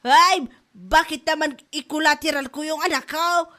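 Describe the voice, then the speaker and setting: A woman's voice: high voice, steady tone, moderate pace, bright, forceful tone. A woman playing a hard-up Filipino mother full of excuses, melodramatic, with an Ilocano accent, in a comedy drama. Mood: pleading. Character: hard-up, excuse-making Filipino mother dodging her debts.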